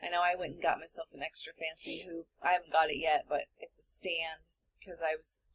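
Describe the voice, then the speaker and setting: A woman's voice: sits low, flat delivery, brisk. Agreeable woman co-host, faintly noisy recording. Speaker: podcast co-host. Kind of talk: casual chat.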